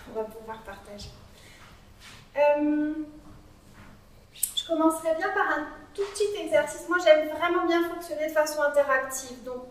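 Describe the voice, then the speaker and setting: A woman's voice: high voice, distant mic. A woman psychologist speaking conversationally to a small audience in a cool meeting room, away from the microphone. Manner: conversational, interactive, frequent "euh" fillers. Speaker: psychologist giving a talk. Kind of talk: interactive conference talk. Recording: very echoey room, voice from afar.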